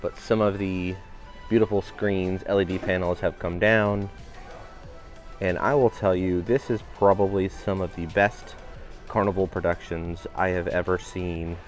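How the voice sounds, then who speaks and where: A man giving an upbeat walking tour of a cruise ship, his voice a little raspy today. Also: deep voice, darkish tone. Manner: upbeat, enthusiastic guiding. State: slightly raspy voice. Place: indoor public areas of a cruise ship.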